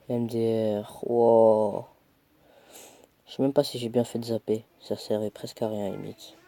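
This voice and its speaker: A man's voice: fairly low pitch, flat delivery, takes it slowly, pauses often, mellow. A young man with a cold, casually commentating his own mobile game match, chatty and self-mocking, muttering as he plays. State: stuffy with a cold.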